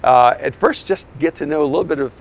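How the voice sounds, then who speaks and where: A man's voice: fairly high pitch, fast talker, gravelly. A man hosting an interview podcast outdoors on a city street, talking in an earnest, effusive way. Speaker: podcast host.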